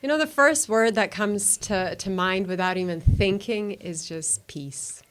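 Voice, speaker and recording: Casting: forties woman guest, friendly, a bit hesitant, mid-pitch voice. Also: unhurried. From Sweden, raised in Zambia. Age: 40s. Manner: friendly, a little hesitant, with fillers. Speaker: business owner and guest. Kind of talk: relaxed interview answers.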